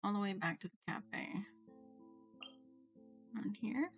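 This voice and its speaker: Female gameplay streamer: casual self-talk, medium pitch with animated inflection, music in the background. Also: a little slow, dull audio. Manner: musing aloud to herself. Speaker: game streamer. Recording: game music behind the voice.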